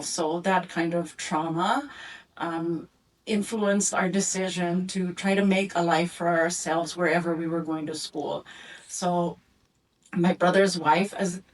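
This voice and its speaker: A middle-aged woman tells her story in a slow, reflective way, with many pauses and ums. Her voice sits low. The mic is some way off, so she sounds distant. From Philippines and Indonesia, raised abroad. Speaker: community speaker presenting her family story. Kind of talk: storytelling talk with photo slides.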